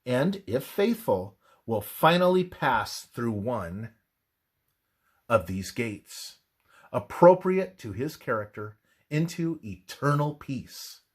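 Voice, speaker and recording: A man narrates a devotional text with solemn emphasis. His pace is slow and deliberate, and his voice has a husky grain. The pitch moves widely as he stresses each measured phrase. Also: big pitch swings. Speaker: narrator reading a religious book.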